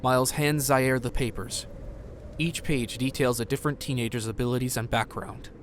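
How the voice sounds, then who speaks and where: A man's voice: medium pitch, steady tone, normal speed, a little hiss or hum. Narrator: man, mid-range voice, scripted audio drama storytelling, slight background ambience.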